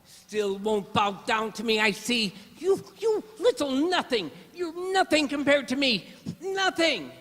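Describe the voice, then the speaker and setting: A man's voice: very high-pitched, sing-song, unhurried, fairly bright tone, exaggerated voice. An actor playing a vain, resentful, whiny gangster henchman in an amateur radio play. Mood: bitter, seething. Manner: boastful, whiny, old-time gangster slang. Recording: echoey reverberant hall.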